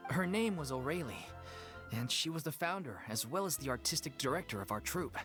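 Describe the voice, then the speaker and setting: An earnest, humble member of a stage troupe in a game. The voice is notably deep for a woman and plainly sincere. Clear music plays underneath. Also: expressive, a little fast. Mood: somber. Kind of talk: English video game dub acting. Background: clear background music.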